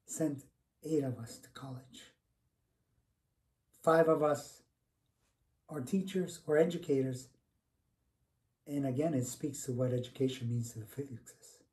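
A man's voice: medium pitch, mellow, lightly acted. An actor playing a devoted middle-aged educator and grateful son, telling his story conversationally in a dramatized monologue. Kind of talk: dramatized first-person narrative, conversational. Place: small home room, indoors. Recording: distant mic in a room.

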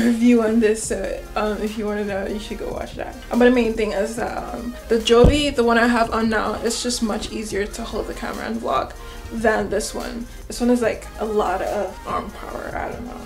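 Young woman vlogging from her room, slightly high voice, says like a lot, music playing under it. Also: fairly even intonation, moderate pace. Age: young adult. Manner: chatty, loose, many "like" and "you know" fillers. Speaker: student vlogger. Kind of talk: casual vlog talk to camera. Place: small bedroom and back seat of a taxi. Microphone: handheld camera on a small tripod at arm's length.

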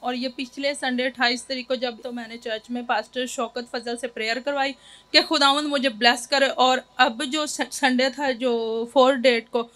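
Brief: woman, high bright voice, earnest and thankful, church testimony. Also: fairly even intonation. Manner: earnest, thankful, halting at first. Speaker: church member giving testimony. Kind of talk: spoken testimony. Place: indoor church stage. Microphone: handheld mic held by host.

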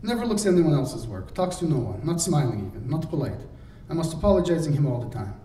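A man voicing an intense young woman painter who speaks breathy, halting, broken English, in an audiobook recorded in a roomy space from a distance. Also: fairly high pitch, very animated, warm tone, pitched above the performer's own voice. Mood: aggrieved. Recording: roomy, distant, faint hiss.

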